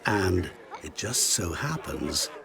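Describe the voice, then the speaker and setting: A man's voice: low voice, big pitch swings, takes it slowly, vocal fry, crowd noise, full character voice. A man playing a sly, eloquent, worldly schemer in a video game, theatrical and smug. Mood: smug, amused. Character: smooth, sly male enchanter giving worldly romantic advice. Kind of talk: video game dramatic acting.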